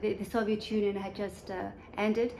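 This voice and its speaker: A woman in her forties from Kazakhstan speaks in a measured, thoughtful way, pausing often to find her words. Her pitch stays fairly level. The room sounds echoey, with a faint hiss underneath. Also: little pitch movement, mellow. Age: 40s. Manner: measured, thoughtful, many ums and restarts. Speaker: guest, UN section chief. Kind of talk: interview answers.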